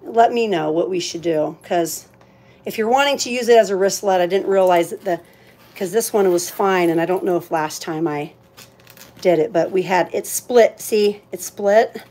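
A woman's voice: lowish voice, normal speed, dark tone. A woman hosting a live auction in casual, chatty talk, thinking aloud as she handles items. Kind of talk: casual livestream sales chat.

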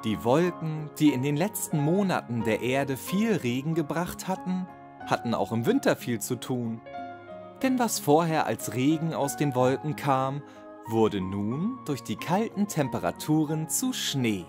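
Slow, animated storybook narrator. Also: medium pitch. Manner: expressive storytelling. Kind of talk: scripted children's bedtime story narration. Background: music.

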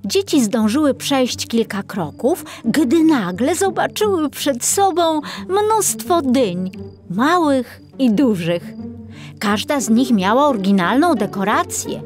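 Unhurried, lively woman storyteller. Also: high voice, faint music behind. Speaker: narrator. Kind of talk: scripted children's story narration.

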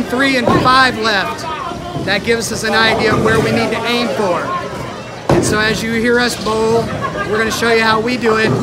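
A man vlog host casually explaining things at a bowling alley, relaxed and halting with fillers, filmed on a handheld phone. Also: high-pitched. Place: busy indoor bowling alley. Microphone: handheld phone camera. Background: loud bowling alley crowd and noise.

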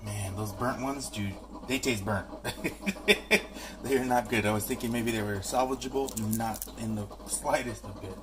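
A man's voice: medium pitch, measured pace, background noise. A young man chatting casually as he cooks breakfast in his home kitchen, upbeat and joking at his own mistakes. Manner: upbeat, joking, self-deprecating. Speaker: home cooking vlogger. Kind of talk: casual cooking chat. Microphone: camera mic, handheld and tripod. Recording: home kitchen with sizzling in the background. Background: sizzling pan and kitchen sounds.

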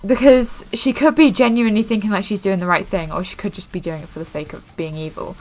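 A woman's voice: mid-range voice, quick pace, low-quality recording. Rambling young woman gaming host. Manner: self-described monotone, rambling with fillers. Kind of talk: casual gameplay commentary. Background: faint game music.

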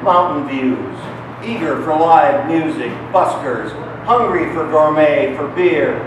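Poet reciting slowly on a noisy street. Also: male voice, a little high. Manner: slow, measured, pausing between phrases. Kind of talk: poetry recitation. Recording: distant, echoey street recording with noise.

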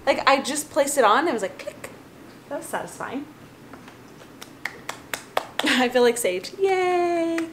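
A bubbly woman in her thirties vlogs her day at home, holding the camera close. Her voice sits a touch high and she laughs often as she chats. A faint hiss of noise sits under the sound. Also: expressive, slow pace, pauses often. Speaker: vlogger, new mom. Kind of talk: casual vlog chat. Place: large bright home kitchen and bedroom. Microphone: camera held at arm's length.

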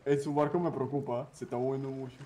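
A man chatting with friends while gaming, talkative and quick to laugh, thinking out loud. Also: mid-range voice, little pitch movement, a crowd in the background. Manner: talkative, laughs often, speculates aloud. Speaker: gamer playing with friends. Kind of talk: casual gaming chat.

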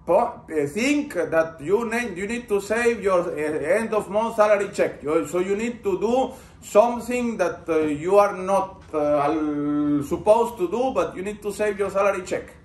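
High-voiced male lecturer in an echoey room. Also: measured pace, hardly any pauses. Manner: poses questions to the class and builds on answers. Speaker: university lecturer. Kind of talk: interactive classroom lecture. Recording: roomy lecture-room echo.

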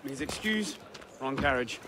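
A man's voice: mid-range voice, lively intonation, measured pace, heavy background noise, close to an everyday voice. An actor playing a cocky, roguish young Frenchman in a video game, wry and flippant in manner. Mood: wry, reassuring. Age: young adult. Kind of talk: video game cutscene acting.

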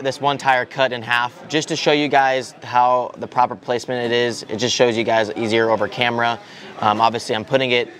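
A man's voice: medium pitch, a bit bright. A young man casually demonstrating a hands-on task, with lots of fillers and restarts as he explains step by step. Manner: loose, filler-heavy explaining with many restarts. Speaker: RC racer demonstrating tire prep. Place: large indoor hall with people at tables. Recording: voice over crowd chatter in a big hall. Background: crowd chatter in the hall.

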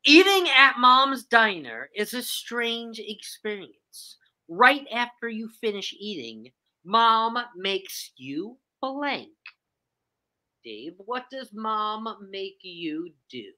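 Bubbly bright woman hosting a game show. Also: fairly high pitch, sing-song, slow pace. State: hoarse from lost voice. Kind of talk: live video call game show. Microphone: video call.

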